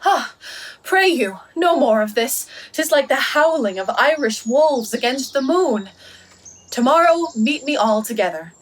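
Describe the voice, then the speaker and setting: Woman acting witty young heroine posing as a shepherd boy, highish voice, shakespeare radio drama. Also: expressive, a little slow, distant mic. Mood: brisk, commanding. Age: young adult.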